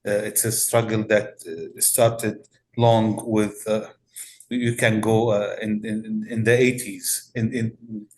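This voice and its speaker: A man with a Palestinian accent speaks slowly and carefully, his voice sitting a little low. He pauses often and fills the gaps with soft "uh"s, choosing each word like a pastor giving a thoughtful answer in an interview. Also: little pitch movement. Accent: Palestinian Arabic. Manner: measured, deliberate, many pauses and "uh" fillers.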